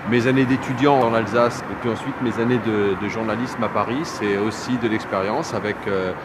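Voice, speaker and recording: A middle-aged man from Kabylie talks reflectively, pausing often and filling gaps with hesitations. Traffic and street noise can be clearly heard around him. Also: lowish voice, fairly even intonation. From Kabylie, Algeria. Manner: thoughtful, hesitant, many pauses and fillers. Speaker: interviewee, journalist. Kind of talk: reflective interview talk.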